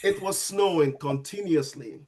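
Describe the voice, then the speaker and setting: A man's voice: a little high, unhurried, husky. Man telling stories. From Sierra Leone. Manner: animated storyteller, frequent restarts. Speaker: guest, registered nurse. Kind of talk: casual storytelling interview.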